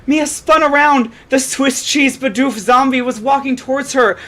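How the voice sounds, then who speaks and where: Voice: high voice, moderate pace, a bit bright. A theatrical reader telling a comedic scripted story, now and then stumbling over a line. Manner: theatrical, stumbles and repeats lines. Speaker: fan fiction reader.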